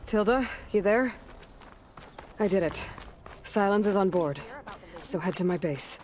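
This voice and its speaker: A woman's voice: medium pitch, expressive, measured pace, natural voice. Blunt, defiant young American warrior woman, as acted. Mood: brisk. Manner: blunt, assertive. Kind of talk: video game cutscene acting.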